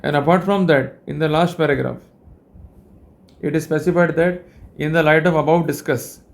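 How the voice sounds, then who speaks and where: A man with an Indian accent giving an explanatory legal lecture in a deliberate, formal way, often repeating his connecting phrases. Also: on the high side, fairly even intonation, creaky.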